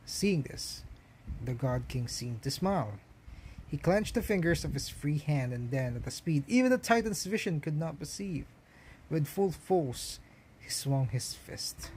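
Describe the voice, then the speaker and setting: Male storyteller, mid-pitched, theatrical and emphatic scripted read, clear music bed underneath. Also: low-quality recording. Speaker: streamer reading a game story aloud. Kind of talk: dramatic scripted narration.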